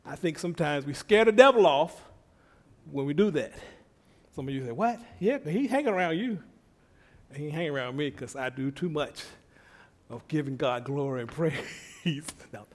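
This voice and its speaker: A middle-aged male preacher with a slightly higher voice, talking to his congregation in a folksy, conversational way. His voice is soft when he is relaxed and climbs into excited shouts of praise. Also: normal speed. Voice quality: soft-spoken at rest. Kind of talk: conversational sermon.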